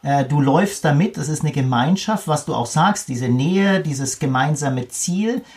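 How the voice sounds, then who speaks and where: A man's voice: on the high side, animated, a little slow, a little mellow. A man co-hosting a casual discussion, reflecting on the topic and agreeing with the others. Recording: off-mic, from a distance.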